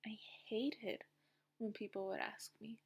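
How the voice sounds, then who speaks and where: Young woman, essay reader, soft half-whispered read, fairly high but flat pitch. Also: unhurried, gravelly, husky. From United States. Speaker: narrator of a personal essay. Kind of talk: reading a personal essay aloud.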